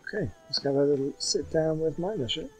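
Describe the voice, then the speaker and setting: A man's voice: fairly high pitch, quick pace, rough recording. Man muttering through a game playthrough, music behind. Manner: mutters running reactions to gameplay. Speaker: gaming video creator. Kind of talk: casual game playthrough commentary. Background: game music and sounds.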